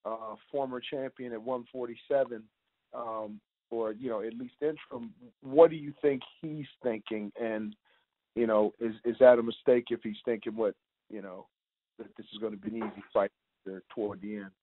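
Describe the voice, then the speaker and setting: A male reporter asking informal press questions in a halting way, rephrasing them as he goes. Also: on the low side, steady tone. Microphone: telephone. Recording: narrow-band conference phone line.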